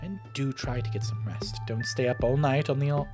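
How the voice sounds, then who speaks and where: A man's voice: medium pitch, steady tone, fairly quick, close to an everyday voice. A man voicing a kindly but ominous old woman in a visual novel, genteel, old-fashioned and portentous. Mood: kindly, fussing. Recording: music playing behind the voice.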